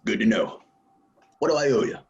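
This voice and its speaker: An actor playing a menacing, distrustful gunslinger stranger in a Western audio play, talking in a terse, probing way. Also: male voice, fairly low pitch, lively intonation, brisk, dull audio, close to an everyday voice. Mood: coolly threatening.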